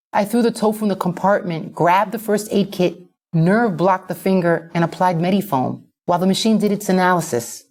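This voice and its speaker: Casting: female audiobook narrator, low register, expressive first-person fiction read with dramatic flair.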